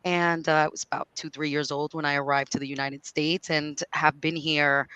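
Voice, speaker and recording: Upbeat female guest on a video call, smooth and resonant, speaking with lots of fillers. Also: deep voice, flat delivery, normal speed, pushed and bright, no echo, rough recording. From New York.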